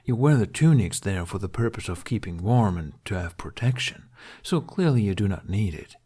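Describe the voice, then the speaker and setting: A man's voice: on the low side, animated, normal speed, mellow, husky. A man voicing a cheerful male dragon companion in an audiobook, teasing and urging in a smug, eager manner. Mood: playful insistence.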